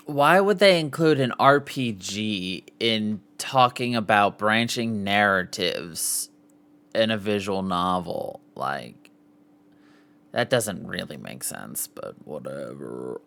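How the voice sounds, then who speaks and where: A man's voice: fairly low pitch, lively intonation, slow pace, vocal fry, sharp tone. Man reading aloud on a casual podcast. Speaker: podcast host.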